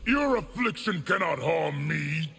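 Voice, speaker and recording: An actor playing a proud, contemptuous military general in fighting-game dialogue, haughty and commanding with a gravelly voice. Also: male voice, on the high side, lively intonation, unhurried, very noisy. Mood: haughty contempt. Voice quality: gravelly, forceful.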